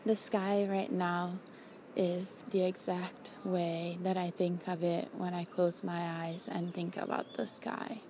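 A woman calmly describing her surroundings outdoors on a summer evening, in an unhurried, observant way. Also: lowish voice, noisy background. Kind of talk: calm descriptive monologue.

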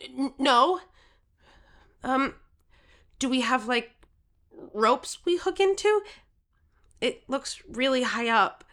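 A woman's voice: high-pitched, slow pace, pauses often, a bit bright. A woman playing an earnest, determined thirteen-year-old contestant who narrates their own story in an audio drama. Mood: nervous. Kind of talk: scripted first-person audio drama narration.

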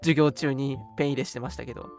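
A man's voice: medium pitch, fairly even intonation, fast, faint music behind. A man in his twenties co-hosting a radio show, reminiscing in casual conversation. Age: 20s. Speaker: radio show co-host and drama studio member.

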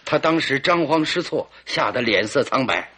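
Confident male detective, old radio play. Bright, slightly rough voice, leisurely theatrical deductions. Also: mid-range voice, lively intonation, normal speed. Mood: animated narrating. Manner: theatrical, leisurely deductive storytelling with rhetorical questions. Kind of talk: old-fashioned Mandarin radio drama acting.

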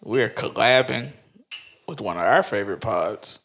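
A man's voice: lowish voice, expressive, measured pace, fairly bright tone. A man co-hosting a podcast, joking and easygoing in casual banter.

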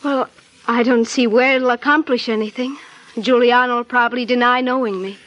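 Actress as an anxious, high-voiced lady client. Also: steady tone, brisk, fairly bright tone, muffled recording, natural voice. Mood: uneasy. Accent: American. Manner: hesitant, demure, guarded. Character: anxious, evasive young female client in trouble. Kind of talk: 1940s radio detective drama acting.

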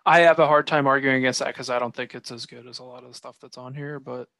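A man's voice: medium pitch, steady tone, fast talker, bright, forceful tone, no echo. A man hosting a casual podcast chat, teasing and joking with his co-hosts. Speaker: podcast host.

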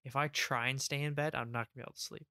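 Casting: mid-pitched, smooth, relaxed man; easygoing co-host, dry booth sound. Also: racing, no echo. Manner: laid-back, dry quips. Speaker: podcast co-host. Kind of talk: casual chat.

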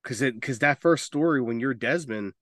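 A man with a mid-pitched voice talks casually as a podcast co-host. He gives his opinions bluntly and swears often. The sound is dry, with very little room. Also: normal speed, fairly bright tone, no echo. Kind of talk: casual opinionated chat about games and films.

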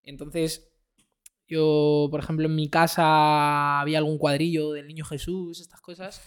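A man's voice: on the high side, flat delivery, a little slow, clean tone. A man in his twenties with a southern Madrid Spanish accent, chatting easygoingly as a podcast guest. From born in Colombia, raised in Madrid. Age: 20s. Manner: easygoing, rambling, many fillers. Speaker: guest singer-songwriter. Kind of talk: casual podcast chat.

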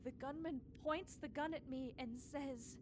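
Woman playing a very high-voiced excitable young girl. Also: a little slow, background noise. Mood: haunted. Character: young girl Anna, excitable, then traumatized. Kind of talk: audio play acting with narration. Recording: music behind the voice.

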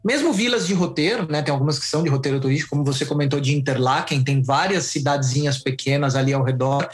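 A man, a guest in a casual interview, telling stories in a relaxed, amused way. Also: a little high, fast talker.

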